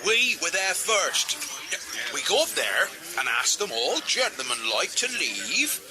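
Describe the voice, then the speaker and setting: Radio play: brash country lad, high-pitched, rural southern English, pugnacious and cocky, music underneath. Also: male voice, sing-song, slow, low-quality recording. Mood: defiant. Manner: blustering, cocky, bantering. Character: brash, pugnacious young village Morris man. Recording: music behind the voice.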